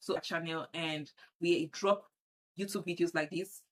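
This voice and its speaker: A Nigerian woman with a Nigerian accent walking viewers through a software setup on screen, often repeating herself as she clicks. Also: on the low side, steady tone, moderate pace. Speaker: tutorial presenter. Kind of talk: screen-share software tutorial.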